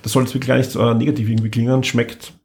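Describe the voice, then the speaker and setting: A man with an Austrian accent and a medium-pitched voice hosts a podcast. He talks in a casual, conversational way, as in an informal chat with co-hosts. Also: level tone, very fast, gravelly, darkish tone. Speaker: podcast host.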